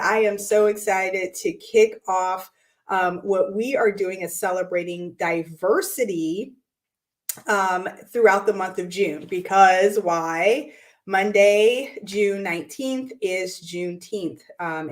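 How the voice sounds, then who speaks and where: A woman hosting her weekly show, hoarse and raspy from losing her voice, talking upbeat with lots of ums and restarts in a room by a window. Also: medium pitch, a little slow. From United States. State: hoarse from lost voice. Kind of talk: casual talk to camera.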